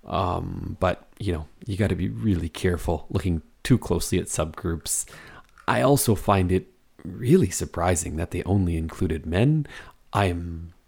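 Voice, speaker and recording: A man's voice: low voice, measured pace, creaky, a little mellow, slightly rough. A man hosting a medical podcast, asking questions and joking wryly. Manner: wry, joking, asks questions. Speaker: podcast host.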